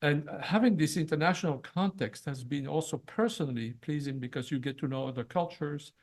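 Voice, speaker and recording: An older man from Belgium, a retiring professor, presenting at a ceremony, speaking haltingly with many fillers and restarts. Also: on the high side. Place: event hall.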